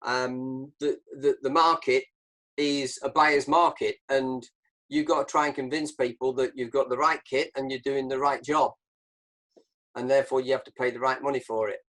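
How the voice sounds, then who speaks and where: A man's voice: medium pitch, steady tone, normal speed, a bit bright, low-quality recording. A man hosting an informal discussion on a video call, explaining his reasoning step by step. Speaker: host, badminton coach.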